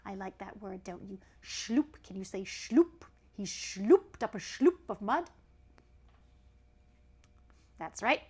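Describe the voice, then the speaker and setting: A woman reading a children's story aloud in an animated style, with chatty asides to a young listener. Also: medium pitch, slow pace, long pauses, slightly rough.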